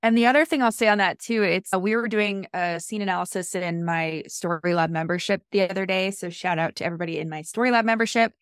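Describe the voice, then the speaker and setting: A woman's voice: medium pitch, quick pace, few pauses, no room sound, clean studio sound. A woman book coach guesting on a podcast, chatty and eager. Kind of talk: casual podcast chat.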